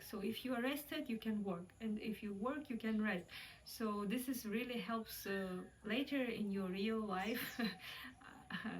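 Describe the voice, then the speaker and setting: A woman's voice: mid-range voice, recorded from a distance, a little hiss or hum, rough recording. A young Kazakh woman with a Kazakh accent, talking casually and reflectively, hesitating often with fillers. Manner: hesitant, many fillers, light laughs. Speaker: vlogger.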